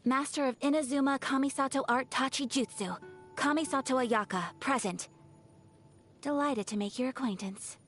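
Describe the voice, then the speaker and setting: An actress voicing a graceful young noblewoman in a video game, gentle and earnest, with poised, formal, delicate phrasing. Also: sits high, moderate pace, soft background music. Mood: gracious. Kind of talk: video game character voice lines.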